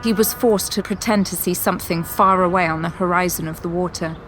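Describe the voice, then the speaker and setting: A woman giving scripted, measured, literary narration for an audio drama. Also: on the low side, fairly even intonation, soft background music.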